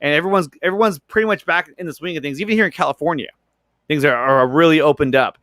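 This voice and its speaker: A man's voice: on the high side, quick pace, fairly bright tone, no room sound. A man in a casual streamed panel discussion, repeating his phrases for emphasis.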